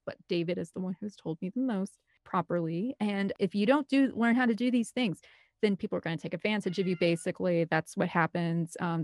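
A woman's voice: lowish voice, no room sound. An American woman in her thirties from the Midwest, talking casually and enthusiastically in a rambling, hyper way as an interview guest. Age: 30s. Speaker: guest, film composer and director.

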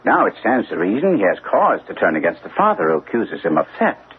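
An actor playing a shrewd, self-assured gentleman detective in an old-time radio drama, precise, probing and courteously commanding. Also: deep voice, wildly swooping pitch, a little fast, narrow, tinny audio. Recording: thin, band-limited old radio recording, faint noise.

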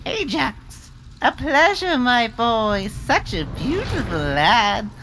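A woman voicing an eccentric, fawning sorceress in an audiobook, flattering and babbling, with a sly, scornful edge. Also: fairly high pitch, slow pace, a little hiss or hum, full character voice, low-quality recording. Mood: gushing. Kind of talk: audiobook character acting.

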